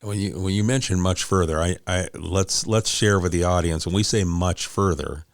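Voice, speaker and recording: A man's voice: low voice, normal speed, no room sound, clean studio sound. A man hosting a conversational interview, often rephrasing as he frames his questions and points. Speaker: interviewer, host; law enforcement officer.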